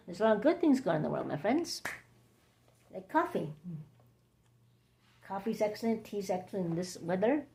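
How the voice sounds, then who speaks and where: A woman with a hoarse, scratchy throat, hosting a rambling late-night livestream chat from home, halting and drifting between topics. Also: medium pitch, mellow. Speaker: livestream host.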